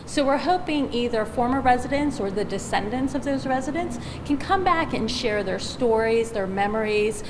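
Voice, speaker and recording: A woman's voice: on the high side, expressive, moderate pace, few pauses. A woman giving a spontaneous answer in a news interview. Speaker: interviewee on local history. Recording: long reverberant room with faint noise.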